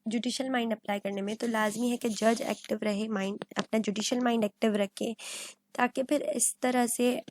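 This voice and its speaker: A woman speaks in a fairly high voice, in the style of a legal lecture. She explains point by point, mixing in English terms and restating them in everyday words. The recording is dry, with very little room sound. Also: fairly even intonation, normal speed, a little mellow, dry recording. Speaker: law lecturer.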